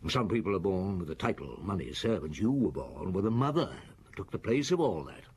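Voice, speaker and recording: A man playing a husky, relentless police chief. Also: low-pitched, very animated, darkish tone, full character voice. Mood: probing. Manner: probing, cutting, unhurried interrogation. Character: shrewd middle-aged male police chief, relentless. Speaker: police chief interrogating a suspect. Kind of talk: radio drama acting.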